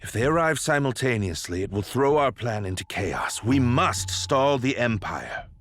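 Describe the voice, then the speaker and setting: A man playing a shrewd, grave military strategist in a video game cutscene, speaking deliberately and formally. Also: medium pitch, big pitch swings, fairly bright tone. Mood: resolute.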